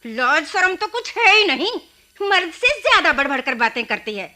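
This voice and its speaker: Shrill, scolding mother-in-law. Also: big pitch swings, normal speed, sharp tone, exaggerated voice. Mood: indignant, scornful. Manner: shrill, indignant scolding with exclamations. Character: scolding, shrewish mother-in-law defending her son. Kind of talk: Hindi radio drama acting.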